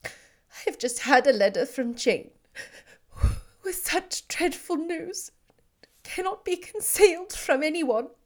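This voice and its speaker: A woman's voice: sits high, animated, very fast, long pauses, fairly bright tone, voice pushed up. A woman reading the part of a distraught young woman in an audiobook, agitated, pleading and close to tears. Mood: tearful.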